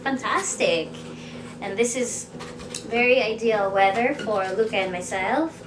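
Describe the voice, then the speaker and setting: A woman's voice: fairly high pitch, measured pace, background noise. A woman from the Philippines, a native Filipino speaker, chats casually and light-heartedly to the audience as a singer between songs at a live indoor show. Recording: echoey live tavern performance, guitar music behind.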